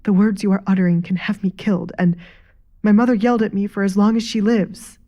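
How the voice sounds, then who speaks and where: A woman's voice: mid-range voice, flat delivery, brisk, dark tone, lightly acted. A woman voicing an honest male butler who has been wronged, earnest and defensive, in an audio drama. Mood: indignant, pleading.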